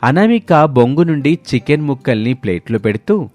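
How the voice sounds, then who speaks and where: A man's voice: mid-range voice, sing-song, normal speed, darkish tone, faint music behind. A man narrating a scripted moral story in a storytelling manner.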